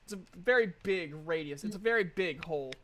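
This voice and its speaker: A man acts as game master in a tabletop session. His voice is bright and on the high side, and he moves between informal table talk and loose, casual narration. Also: expressive, fairly quick.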